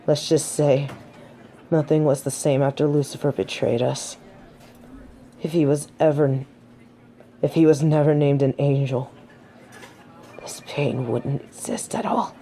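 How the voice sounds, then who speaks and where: A woman's voice: low-pitched, steady tone, unhurried, long pauses, mellow, slight background noise. A woman playing a proud, haughty fallen angel of judgement in a scripted audio roleplay, dramatic and defensive. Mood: bitter, defensive.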